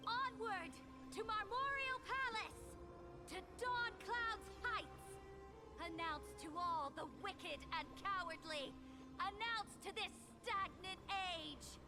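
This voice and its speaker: A woman voicing a commanding warrior queen who rallies her people in a rousing, declamatory way, over loud game music. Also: very high voice, slow pace.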